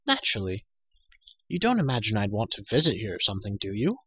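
A man's voice: lowish voice, huge pitch swings, fast talker, a bit bright, slightly rough, no echo, exaggerated voice. A man voicing a chatty, bossy young girl of royal birth in a storybook, prattling matter-of-factly and scolding. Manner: prattling, matter-of-fact, indignant. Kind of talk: storybook character voice.

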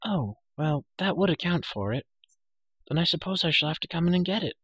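A man's voice: a little high, lively intonation, fairly quick, fairly bright tone, husky, dry recording, pitched above the performer's own voice. A man voicing a chatty, bossy young girl of royal birth in a storybook, prattling matter-of-factly and scolding. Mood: breezy, blunt. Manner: prattling, matter-of-fact, indignant. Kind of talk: storybook character voice.

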